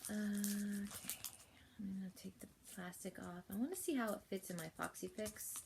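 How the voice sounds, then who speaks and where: A woman's voice: mid-range voice, normal speed, vocal fry, noisy background. Chatty woman with a sniffly cold. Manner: chatty, rambling with fillers and soft laughs. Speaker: planner unboxing vlogger. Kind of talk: casual unboxing chat. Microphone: phone held while filming.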